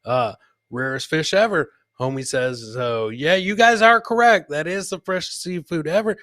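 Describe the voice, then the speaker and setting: A man's voice: fairly high pitch, normal speed, pushed and bright, dry recording, clean studio sound. A man hosting a casual comedy show, commenting on clips and often repeating phrases for emphasis. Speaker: podcast host.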